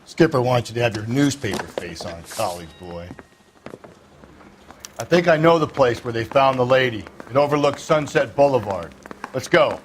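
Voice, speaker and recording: Male actor for a middle-aged American homicide cop, hardboiled game drama, gruff, condescending and weary, mid-range pitch. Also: lively intonation, normal speed, a little hiss or hum, natural voice, poor audio. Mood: brisk, dry. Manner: terse, condescending, world-weary. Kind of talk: hardboiled 1940s detective game acting.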